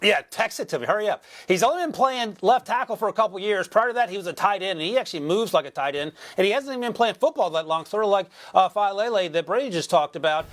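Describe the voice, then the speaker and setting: A man breaking down draft picks in a studio sports show, energetic with wry asides. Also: high-pitched, fast talker, few pauses. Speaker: draft analyst.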